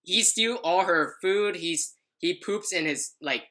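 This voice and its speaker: A woman's voice: on the low side, a little slow, fairly bright tone. A young adult woman telling a personal story in casual podcast chat, rambling and often stumbling over her words.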